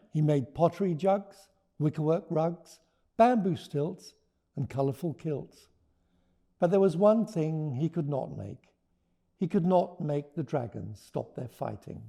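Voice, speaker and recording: A man reading a children's storybook aloud and talking about it, with deliberate, expressive phrasing. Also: on the high side, creaky. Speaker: children's author reading his book aloud. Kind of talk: expressive storybook read-aloud with teaching notes.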